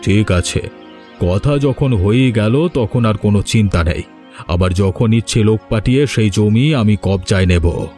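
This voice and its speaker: A man playing a proud, domineering village landlord in a cartoon drama, speaking with deliberate authority. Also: lowish voice, a little mellow, faint music behind, natural voice. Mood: firm, measured. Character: stern, domineering village landlord, male, proud but remorseful. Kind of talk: Bengali cartoon drama dialogue.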